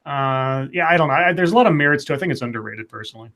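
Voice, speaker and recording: A man's voice: medium pitch, animated, racing, fairly bright tone. A man co-hosting a podcast, chatting casually, swearing now and then and repeating words. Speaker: podcast co-host. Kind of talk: casual chat.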